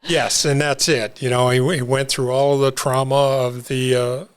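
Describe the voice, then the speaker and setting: An older man telling his own recovery story in an interview, talking haltingly with many fillers and restarts. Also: medium pitch, flat delivery, clean tone. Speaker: interview guest. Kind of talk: reflective personal story.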